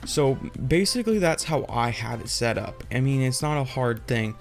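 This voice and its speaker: A man's voice: mid-range voice, animated, moderate pace, faint music behind. A young adult man giving an informal, off-the-cuff tech tutorial, explaining loosely and rambling as he goes. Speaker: tutorial host.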